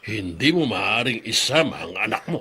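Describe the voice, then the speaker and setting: A man's voice: fairly low pitch, big pitch swings, normal speed, pushed and bright, full character voice. An actor playing a proud, resentful older grandfather who dotes on his grandchild in a Filipino drama. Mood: stern, possessive. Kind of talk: Filipino radio-style drama acting.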